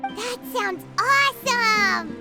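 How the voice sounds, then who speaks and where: A woman's voice: very high-pitched, lively intonation, extremely slow, full character voice. A woman voicing a tiny, childlike, eager fairy companion in a video game. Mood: excited. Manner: refers to self in third person, childishly eager. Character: tiny floating fairy companion, childlike, chirpy, eager, third-person talker. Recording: loud music behind.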